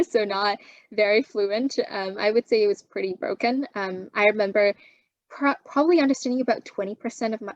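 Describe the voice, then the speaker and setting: A young woman from China, a native Chinese speaker, answering interview questions in a measured, thoughtful way with frequent pauses. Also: mid-range voice, no echo, dull audio. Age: young adult. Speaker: guest.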